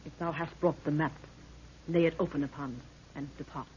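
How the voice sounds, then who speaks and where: A woman's voice: deep voice, fairly even intonation, brisk, darkish tone, husky. An actress playing a proud, commanding queen with solemn archaic speech in an old radio drama. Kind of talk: 1930s radio drama acting. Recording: dull, noisy 1930s radio recording.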